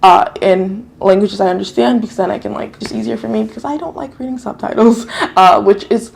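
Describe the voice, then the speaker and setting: A woman's voice: mid-range voice, a little fast, vocal fry. A woman from New York whose first language is Japanese, chatting casually through a drama review, rambling, correcting herself and swearing now and then.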